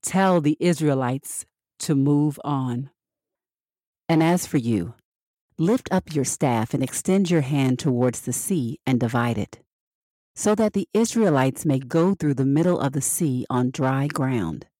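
A woman reading scripture as scripted narration for a Bible podcast, measured and expressive with the dialogue. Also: very deep voice, dry recording, studio quality.

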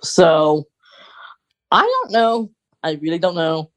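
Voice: mid-range voice, huge pitch swings, takes it slowly. A podcast co-host chatting casually and speculating as a fan, often hesitating and thinking aloud.

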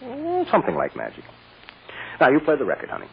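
A man's voice: medium pitch, huge pitch swings, fast talker, vocal fry. An actor playing a kindly, fatherly defense lawyer in an old radio drama. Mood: gentle. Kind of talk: 1940s-50s radio drama acting. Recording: muffled, noisy old radio recording.

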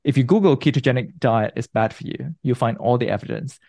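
A man from Malaysia in his thirties, a guest researcher giving measured, explanatory interview answers with a few restarts. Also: medium pitch, vocal fry, mellow, no echo.

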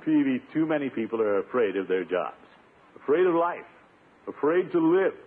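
An actor playing a blustery, self-satisfied middle-aged bachelor official in 1950s radio comedy, pompous and emphatic. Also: male voice, mid-range voice, very animated, moderate pace, breathy edge. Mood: declaiming. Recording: muffled, scratchy 1950s radio broadcast.

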